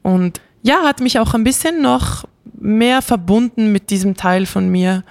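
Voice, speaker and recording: A woman's voice: mid-range voice, a little slow, vocal fry, dry recording. A young woman, a classical singer raised bilingual in Bosnian, chatting animatedly in an interview with many fillers and light laughs. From Switzerland. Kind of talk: casual interview chat.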